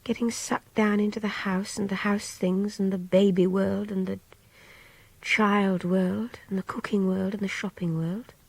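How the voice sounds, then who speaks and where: A young English woman, reflective and idealistic, confides in a radio drama monologue. Her voice is husky and creaky, close and dry, often sinking towards a whisper. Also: medium pitch, little pitch movement, a little slow, darkish tone, no room sound. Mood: anxious, reflective. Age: young adult.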